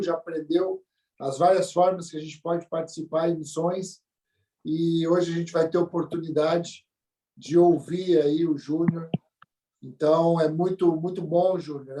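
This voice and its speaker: A man speaks as a church pastor, introducing a guest warmly and repetitively with many tag questions. His voice sits a little high but sounds mellow, and he is picked up from some distance from the mic.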